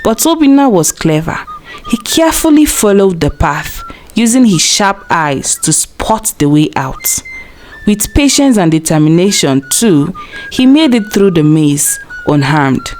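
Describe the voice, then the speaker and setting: A woman's voice: medium pitch, big pitch swings, a little slow, a little mellow, slight background noise. A woman storyteller narrating a scripted folktale in a dramatic storybook delivery.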